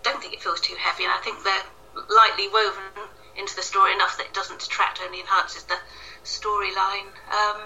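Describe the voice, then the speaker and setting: A woman's voice: medium pitch, little pitch movement, brisk, vocal fry, sharp tone, slight background noise. A woman from Wales giving casual, self-deprecating interview answers as an author from her echoey kitchen. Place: home kitchen, indoors. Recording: echoey kitchen, distant tinny call sound.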